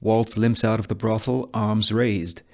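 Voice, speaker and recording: A man reading screenplay narration and scene headings in a measured, deliberate way, in short phrases. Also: fairly low pitch, fairly even intonation, gravelly, darkish tone. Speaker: table read narrator reading all parts.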